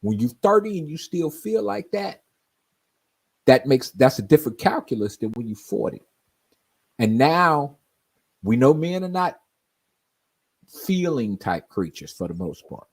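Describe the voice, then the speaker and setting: Man in his forties, husky voice, swinging up and down in pitch, easy storytelling talk. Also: sing-song, measured pace, pauses often. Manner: storytelling, often asks "you know what I mean?". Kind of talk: casual chat on a live stream.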